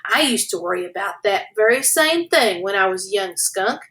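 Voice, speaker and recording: A woman voicing a wise, kind male skunk mentor in a storybook, speaking gently and reassuringly. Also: medium pitch, lively intonation, moderate pace.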